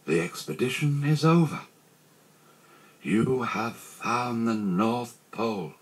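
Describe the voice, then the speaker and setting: A man's voice: mid-range voice, wildly swooping pitch, slow, mellow, voice pushed up, full character voice. A man voicing an earnest, kindly little boy who takes charge in a children's storybook, solemn and helpful. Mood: solemn, pleased.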